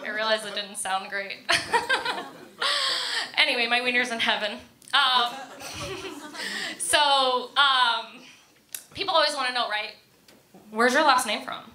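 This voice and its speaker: A woman with an American accent performs stand-up in an echoey club. Her voice sits fairly high and has a bright, forward edge. She tells stories casually at her own expense and often giggles through them. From Florida, United States. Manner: self-deprecating, casual storytelling. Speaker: stand-up comedian. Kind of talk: stand-up comedy set. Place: indoor comedy club stage. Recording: echoey room, live comedy club.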